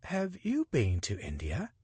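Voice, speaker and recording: A man's voice: medium pitch, wildly swooping pitch, unhurried, darkish tone, breathy edge, no room sound, voice pushed up, heavily acted. A man voicing a curt, prim older woman traveler with an English accent. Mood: warming.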